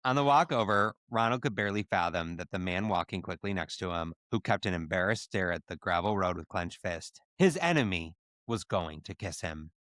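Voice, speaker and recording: Guy podcast host reading a silly story out loud, lowish voice, dry close sound. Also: lively intonation, normal speed, no echo. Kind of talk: comic dramatic reading with casual banter.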